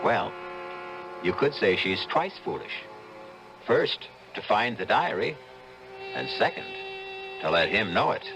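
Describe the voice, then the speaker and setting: An actor as a wry, ominous host narrating an old-time radio mystery, deliberately addressing the listener. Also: male voice, mid-range voice, huge pitch swings, low-quality recording. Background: music.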